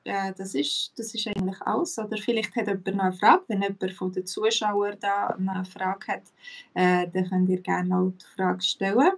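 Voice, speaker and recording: A woman with a Swiss German accent, hosting a relaxed live chat about her language classes and filling her talk with pauses and small laughs. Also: medium pitch, gravelly, far from the mic. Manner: relaxed, chatty, many fillers, laughs often. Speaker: language teacher and host.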